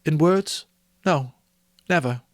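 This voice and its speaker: A man voicing a gentle, sorrowful young woman in a Victorian story, speaking with earnest, wounded deliberation. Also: fairly high pitch, takes it slowly, no echo, studio quality, voice pushed up. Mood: gentle reproach. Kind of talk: dramatic audiobook reading of a Victorian story.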